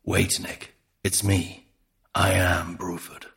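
Dying dugong, low voice, grave, ponderous, pompously wordy, comic audiobook character. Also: male voice, little pitch movement, slow pace, gravelly, a bit bright, exaggerated voice. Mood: grave, urgent. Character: dying dugong, male, psychic, solemn, verbose, sounding like a grave film star.

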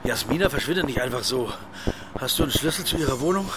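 A man's voice: medium pitch, animated, quick pace, very noisy, lightly acted. An actor playing a worried, urgent, bossy man with a dry, commanding manner in a radio drama. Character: worried, urgent, bossy friend leading a search.

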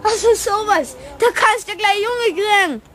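A young performer playing an excitable, hesitant schoolboy in a children's radio play, exclaiming in a Bavarian accent. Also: extremely high pitch, a little slow, exaggerated voice. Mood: startled, excited. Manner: exclaiming, boyish.

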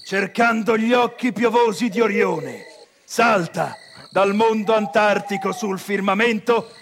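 An actor playing a brooding scholar-magician, declaiming poetic verse in a measured way in a classical drama. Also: male voice, high voice, creaky, a crowd in the background. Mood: ominous.

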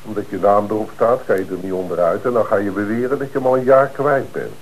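A man's voice: lowish voice, fast talker, a little hiss or hum, natural voice, poor audio. An actor playing a blunt, sarcastic male police detective who presses hard in a Dutch radio play. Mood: mocking, pressing.

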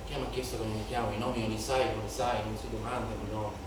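A man's voice: fairly low pitch, level tone, very fast, close to an everyday voice. A male stage actor playing an impassioned, haunted young protester in a declamatory theatrical monologue, with incantatory repetition. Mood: subdued, confiding. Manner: declamatory, incantatory repetition, swelling from hushed to shouted. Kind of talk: theatrical dramatic monologue in Italian political theatre. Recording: very echoey theatre, distant mic, noisy.

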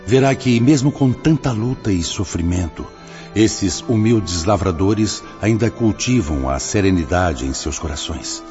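Clear music runs under a very deep, muffled voice: a serene spirit mentor. He speaks, solemn and benevolent, preaching like a wise father in a radio drama. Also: lively intonation, unhurried, darkish tone. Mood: solemn reassurance. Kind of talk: Portuguese-language radio drama acting.

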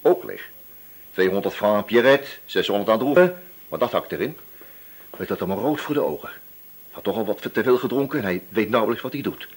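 Casting: relentless, crafty radio-play inspector, husky, swooping delivery, shifting from feigned chattiness to accusation. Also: male voice, on the low side, lively intonation, brisk. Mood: grim, probing. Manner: probing, hesitant feints turning accusatory. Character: wily, relentless male police inspector, feigning casual chat. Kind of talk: radio drama dialogue.

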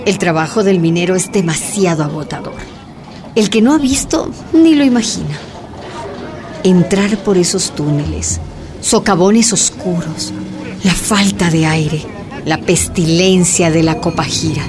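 Una mujer con acento boliviano narra con gravedad una radionovela sobre la lucha minera. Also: female voice, lowish voice, lively intonation, unhurried, background noise. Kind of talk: scripted narration of a radio drama.

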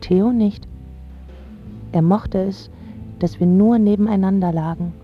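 A woman's voice: mid-range voice, steady tone, measured pace, lightly acted. An actress playing a smitten young woman from a pampered home, narrating intimately and lyrically in a radio drama. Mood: tender. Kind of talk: scripted first-person narration in a German radio drama. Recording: slightly dull sound with music underneath. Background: prominent music.

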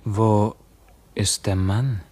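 An actor playing a tormented, bewildered young prisoner in a radio play, speaking in a halting, declamatory way. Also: male voice, lowish voice, steady tone, darkish tone. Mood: brooding, bewildered. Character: tormented imprisoned young man, feverish, bewildered, brooding. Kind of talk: classic German radio play acting.

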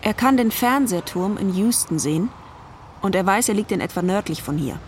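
A woman's voice: mid-range voice, lively intonation, moderate pace, a little hiss or hum. A woman giving a scripted dramatic narration in the third person, as the narrator of a stage play.

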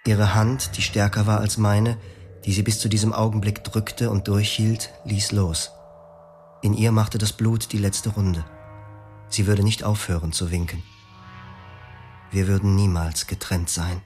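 A man narrating a radio drama in the first person, quiet, grave and measured. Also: fairly low pitch, level tone, soft background music.

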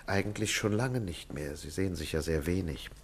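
A man's voice: low-pitched, a little fast, a little hiss or hum. An actor playing a worried middle-aged psychiatrist and father in a 1950s German radio play, formal and hesitant in manner. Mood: troubled. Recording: 1950s radio drama recording.

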